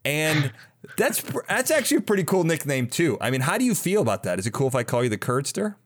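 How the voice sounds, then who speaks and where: A man's voice: fairly high pitch, very animated, fast. A man hosting an interview podcast, effusive and conversational, with a theatrical flourish. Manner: effusive, theatrical list-like delivery in introductions. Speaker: podcast host. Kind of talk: upbeat conversational interview show.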